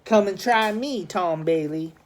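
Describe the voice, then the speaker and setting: A man's voice: high-pitched, measured pace, voice pushed up. A man voicing a scrappy, defiant teenage street boy from the Bowery with a taunting, streetwise manner in a storybook reading.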